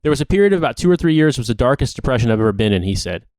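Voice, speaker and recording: Brisk man reading aloud, dry close sound. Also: mid-range voice, big pitch swings, darkish tone, dry recording. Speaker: podcast co-host. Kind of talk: reading an article aloud with brief reactions.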